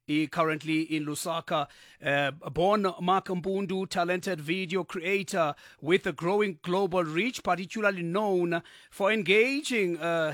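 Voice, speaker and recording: A male radio host with a high, bright, sharp voice. He talks in a halting way full of filler sounds. His voice is close and dry, with no room around it. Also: no echo. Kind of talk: live radio interview.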